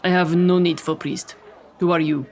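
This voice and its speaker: A man's voice: high-pitched, flat delivery, normal speed, a little mellow, a faint crowd, voice pushed up, close to an everyday voice. A man voicing a haughty, cold Russian princess with a clipped Russian accent and a commanding manner. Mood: imperious.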